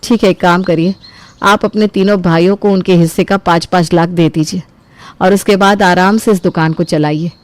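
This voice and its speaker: A woman's voice: on the low side, fairly even intonation, normal speed, no echo, close to an everyday voice. A woman voicing a supportive, practical wife, reassuring and resolute, in a cartoon family story. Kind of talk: acted dialogue in a Hindi cartoon family story.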